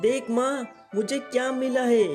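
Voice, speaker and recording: An actor voicing an earnest, excitable poor village boy in a cartoon. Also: high voice, measured pace, soft background music. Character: poor young village boy who herds goats. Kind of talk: cartoon voice acting.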